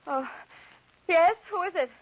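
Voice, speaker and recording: An actress playing an anxious young bride in a 1940s radio drama, emotional and breathless, with a husky, breathy voice. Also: female voice, very high-pitched, unhurried, heavy background noise. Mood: startled, dazed. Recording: muffled old radio recording.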